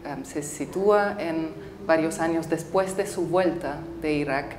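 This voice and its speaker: Low-voiced woman filmmaker in a roomy studio. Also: noisy background. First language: English. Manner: thoughtful, halting, with frequent fillers and restarts. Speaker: filmmaker interviewee. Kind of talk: casual interview answers.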